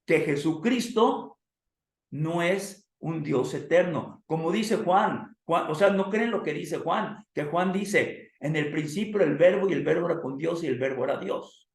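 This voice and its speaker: A hoarse man with a somewhat high voice lectures a theology class. He asks his listeners questions and calls them "amados" like a preacher. His voice rings around an echoey room. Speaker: theology teacher.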